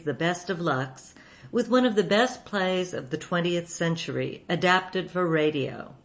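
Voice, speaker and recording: A woman hosting a radio show with a scripted, measured introduction in a presenter's manner. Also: low-pitched.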